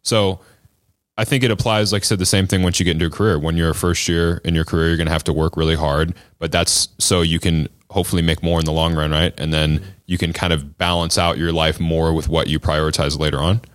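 Deep-voiced man asking questions. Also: level tone, fast, no echo, studio quality. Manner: affirming, frequent short backchannels. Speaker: interviewer. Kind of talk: casual conversational interview.

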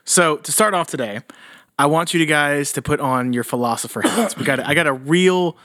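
A man's voice: on the high side, normal speed, bright, forceful tone, dry recording, studio quality. A young man hosting a casual podcast, joking and laughing often with crude slang. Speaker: podcast host.

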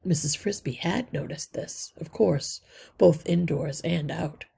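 A woman reading a children's story aloud as narrator, with careful, deliberate phrasing. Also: low voice, vocal fry, mellow, breathy edge.

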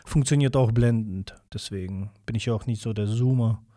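Ein junger Mann aus Russland mit Russisch als Muttersprache erzählt als Interviewgast locker und weitschweifig, mit vielen Füllwörtern und selbstironisch. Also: male voice, fairly low pitch, normal speed, dark tone, no room sound, clean studio sound. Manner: rambling, self-deprecating, many fillers. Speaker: interview guest. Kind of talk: casual interview chat.

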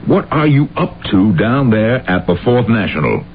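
A man's voice: fairly low pitch, big pitch swings, normal speed, narrow, tinny audio. An actor playing an irritable, suspicious wealthy man in his seventies in a radio drama. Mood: irritated, suspicious. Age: 70s. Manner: curt, suspicious, demanding. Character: imperious, irritable seventy-year-old miserly Southern plantation owner. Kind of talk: old-time radio drama acting. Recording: phone-band, noisy old radio broadcast.

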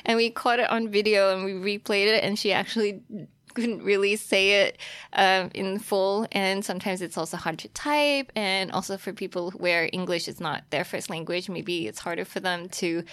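A woman's voice: mid-range voice, steady tone, normal speed, a bit bright, clear, resonant tone, no echo. A young adult woman, chatting casually as a guest in an interview. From Australia, born in Taiwan. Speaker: podcast guest, fashion influencer.

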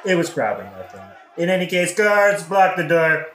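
A man playing a smooth-talking, scheming king in a satirical audio play, with a glib modern delivery. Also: a little high, very animated, moderate pace, background noise. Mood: scheming, exasperated. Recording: roomy, echoing audio-play recording.

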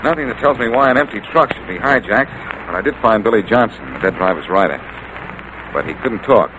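Actor playing a low-voiced wisecracking radio detective. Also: male voice, expressive, brisk, lightly acted. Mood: matter-of-fact. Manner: wisecracking, nonchalant. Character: wry, flirtatious, self-assured male amateur detective. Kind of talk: old-time radio drama acting. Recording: noisy vintage radio recording.

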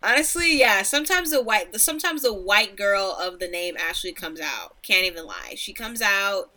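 A young woman of Nigerian background co-hosting a podcast, speaking casually and thoughtfully in a deep voice. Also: moderate pace. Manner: reflective, animated laughter. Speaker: podcast co-host. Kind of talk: casual chat.

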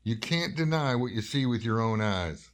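A man portrays a small-town doctor in a horror radio play, with a middling pitch. He is gruff, impatient and skeptical, scolding in brusque, folksy phrases. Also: lively intonation, moderate pace, close to an everyday voice. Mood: irritated, uneasy. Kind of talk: radio drama horror acting.

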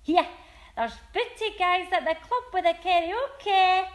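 A woman doing a pushy, very high-pitched Scottish pal. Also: measured pace. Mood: coaxing. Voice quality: pushy, coaxing.

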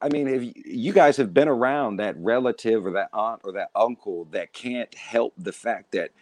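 A man's voice: lowish voice, normal speed, darkish tone, dry recording. A man in his thirties, a guest in a casual discussion, speaking with an emphatic, preacherly cadence. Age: 30s.

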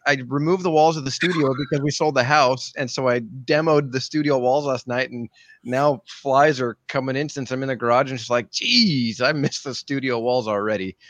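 A man's voice: mid-range voice, moderate pace, fairly bright tone, no room sound. A man co-hosting a casual podcast from a garage studio, joking in a self-mocking way.

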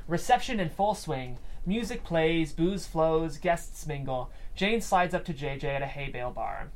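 A man's voice: a little high, animated, normal speed. A man reading stage directions as scripted narration at a table read, with room noise behind him.

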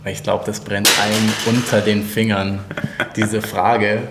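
A man in his twenties from Hamburg, a church co-founder, chatting casually on a podcast, friendly and quick to laugh. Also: on the low side, monotone, heavy background noise. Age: 20s. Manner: friendly, laughs a lot, asks guiding questions. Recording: roomy echo.

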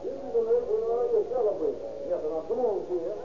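A man's voice: high voice, a little fast, muffled recording, lightly acted. An actor playing a young male robber, bullying, boastful and colloquial, in 1930s radio drama style. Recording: noisy, creaky-sounding old radio recording.

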